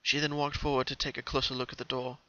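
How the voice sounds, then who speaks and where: A man's voice: mid-range voice, little pitch movement, fast, pushed and bright, dry recording, dull audio. A man narrating a story as a dramatic reading. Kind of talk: dramatic reading of fan fiction.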